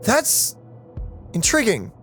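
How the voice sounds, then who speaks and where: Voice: medium pitch, huge pitch swings, takes it slowly, fairly bright tone. A gaming host chatting casually through a playthrough, informal and thinking aloud. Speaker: let's play host. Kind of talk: casual gameplay commentary and chat. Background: faint game music.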